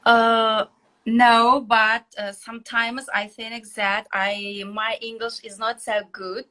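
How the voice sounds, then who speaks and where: A woman with a fairly high voice and a Kazakhstani accent talks as an English learner. Her answers are conversational but halting, with hesitant phrasing. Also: flat delivery, pushed and bright. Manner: hesitant, halting second-language speech.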